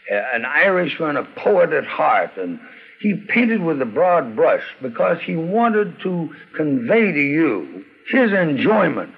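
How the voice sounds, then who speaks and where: A man's voice: mid-range voice, husky. An older man, a retired executive, reminiscing in an interview and telling anecdotes with quoted remarks. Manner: animated storytelling, quoting others. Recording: thin, phone-like old recording.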